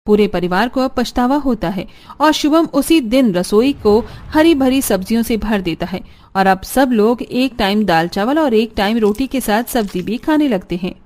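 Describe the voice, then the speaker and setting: A woman's voice: a little high, lively intonation, normal speed, a little mellow. A woman narrator reading a scripted family story for a cartoon video.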